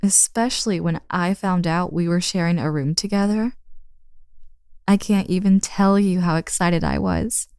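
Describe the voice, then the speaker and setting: A woman's voice: lowish voice, normal speed, darkish tone, smooth, dry recording, studio quality, natural voice. A woman playing a confident, teasing young woman in an intimate roleplay audio drama, speaking casually and playfully to the listener.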